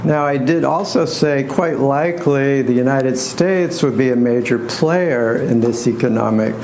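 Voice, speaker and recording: Male lecturer, soft voice, very unhurried and halting delivery, dry self-deprecating wit, live recording with faint background noise. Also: medium pitch. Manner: unhurried, halting with pauses and repeats; wry, self-deprecating humor. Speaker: astrologer and tarot reader giving a lecture. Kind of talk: informal spoken lecture to a live group.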